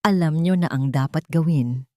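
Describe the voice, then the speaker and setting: A woman's voice: deep voice, normal speed, mellow, dry recording, studio quality, close to an everyday voice. A woman with a Filipino accent voicing a cold, heartless male henchman in an audio drama, speaking with menace.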